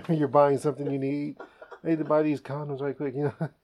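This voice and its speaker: A man hosting a comedy podcast, telling stories in a casual, animated way and laughing often. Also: fairly high pitch, fairly quick, crowd noise. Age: adult. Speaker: podcast host.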